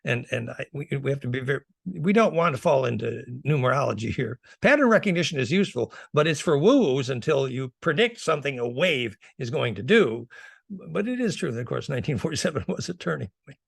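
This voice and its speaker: A man's voice: medium pitch, very animated, a little fast, gravelly, husky, dry recording, clean studio sound. A man explaining technical ideas as a guest lecturer, didactic and heavy with jargon, repeating words for emphasis. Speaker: guest lecturer on fringe physics. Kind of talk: technical explanation in a podcast interview.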